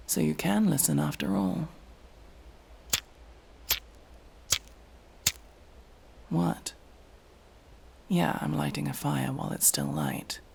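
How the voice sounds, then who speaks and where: An aloof tsundere elf , acted by a man, with a heavily creaky, fry-laden voice that swings expressively in pitch. He is curt and sardonic, a reluctant rescuer in a fantasy audio roleplay. There is a faint noise behind him. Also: darkish tone, exaggerated voice. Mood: dry, grudging. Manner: curt, sardonic, exasperated, with sighs and pauses. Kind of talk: ASMR fantasy roleplay, acted monologue to listener.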